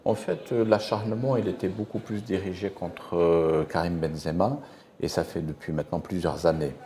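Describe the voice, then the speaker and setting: A man speaking as a panel guest on a TV studio talk show, adding measured, precise explanations broken by fillers. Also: sits low, fairly even intonation, mellow.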